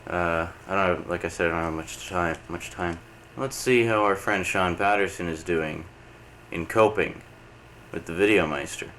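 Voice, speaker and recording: A man's voice: low-pitched, moderate pace, a bit bright. A man coming down with a cold, chatting casually and rambling to camera with lots of uh's, recorded on a camcorder mic in a room at home. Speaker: video letter host. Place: indoor home program room. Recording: noisy early-90s camcorder tape.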